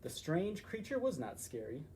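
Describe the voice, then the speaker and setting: A man narrating a children's story in an animated style, as a story time reader. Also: fairly high pitch, moderate pace, slight background noise.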